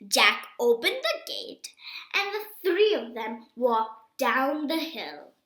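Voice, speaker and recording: A woman reading a children's storybook aloud in an expressive, emphatic way with deliberate phrasing. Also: sits high, echoey room. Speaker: reader of a children's book.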